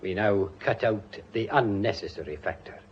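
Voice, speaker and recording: A British actor playing a shrewd, pompous detective in a radio drama, speaking in a deliberate, formal and theatrical manner. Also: male voice, fairly low pitch, slight background noise, natural voice. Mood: measured, knowing. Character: shrewd, pompous male detective summing up a murder case. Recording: dull, muffled radio drama recording.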